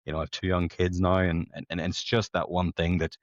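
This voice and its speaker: Low-voiced Northern Irish man, chatty. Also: level tone, brisk, dry recording. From Belfast, Northern Ireland. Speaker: podcast guest, amateur competitive runner. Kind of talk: casual conversational storytelling. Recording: dull lo-fi podcast audio.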